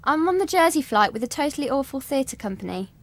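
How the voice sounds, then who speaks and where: Eager stage performer, high voice, animated and earnest, radio comedy. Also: female voice, quick pace. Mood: puzzled. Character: eager, credulous female amateurish theatre performer.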